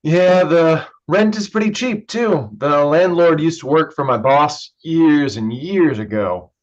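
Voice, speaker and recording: A man's voice: on the high side, measured pace, lightly acted. An actor playing a polite, modest, guarded young man in a virtual staged reading. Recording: dull, lo-fi online call audio.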